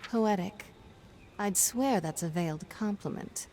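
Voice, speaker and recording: A confident female pirate captain from a fantasy game, played by a woman. She has a mid-pitched voice and a wry, teasing way of speaking. She sounds fully sure of herself. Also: a little slow, mellow, a little hiss or hum, natural voice. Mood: wry, testing. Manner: wry, teasing, self-assured. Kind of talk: cinematic game acting.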